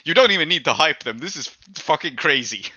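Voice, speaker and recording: A man's voice: on the high side, animated, brisk, pushed and bright, no echo, dull audio. A man casually narrating and refereeing a tabletop game as its game master.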